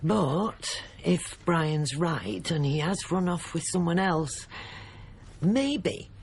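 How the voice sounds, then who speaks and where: A woman's voice: lowish voice, lively intonation, takes it slowly, creaky, darkish tone, a little hiss or hum, heavily acted. An actress playing a fretful, chatty older mother in a radio drama, rambling on and seeking agreement. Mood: gossipy, defensive. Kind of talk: naturalistic radio drama acting.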